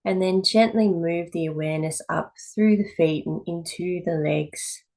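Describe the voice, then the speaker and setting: Female yoga instructor, slightly low pitch, calm and measured guided-meditation delivery with pauses. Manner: calm, measured, with pauses between short phrases. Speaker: yoga teacher.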